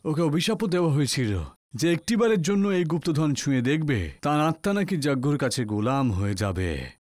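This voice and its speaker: A man's voice: on the high side, big pitch swings, normal speed, a little mellow, no room sound, clean studio sound, voice pushed down. A man voicing a grave local village guide, solemn and ominous, in an acted horror story. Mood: solemn, warning. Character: grave male local village guide telling cursed-treasure lore.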